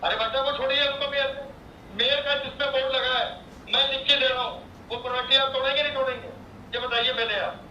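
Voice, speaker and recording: High, sharp, scolding man on muffled call. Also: fairly even intonation, fast, far from the mic. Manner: insistent, threatening, scolding. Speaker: legislator. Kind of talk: heated phone call. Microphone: phone call replayed through a speaker. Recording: muffled leaked phone call, echoey playback, noisy.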